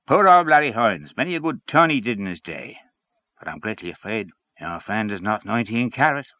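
A man's voice: fairly low pitch, sing-song, fairly quick, muffled recording, voice pushed up. A man voicing a blustering, scornful middle-aged man who grumbles and gossips. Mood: grumbling.

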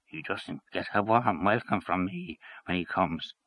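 A gruff old caretaker speaks slowly and grudgingly in a low voice, as a male reader puts him on. The sound is dry and close. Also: fairly bright tone, no echo. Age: older. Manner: slow, grudging.

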